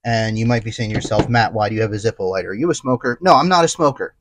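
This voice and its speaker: A man's voice: lowish voice, very fast, noisy background. A man giving a casual, unscripted hands-on gadget review, explaining things conversationally and thinking aloud. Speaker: tech gadget reviewer and host.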